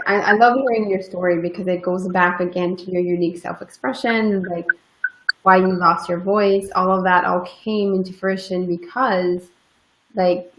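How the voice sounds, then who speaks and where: A woman interview host who affirms her guest and asks rambling questions full of fillers. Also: on the low side. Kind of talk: casual interview chat. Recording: roomy, dull lo-fi recording.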